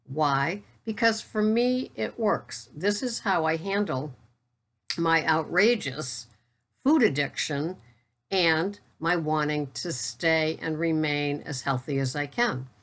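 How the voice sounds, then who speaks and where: A woman in her sixties talking casually to camera as a keto vlogger and coach, in a steady, matter-of-fact voice with wry asides. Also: deep voice, slow pace. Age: 60s. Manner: steady, matter-of-fact, self-described monotone.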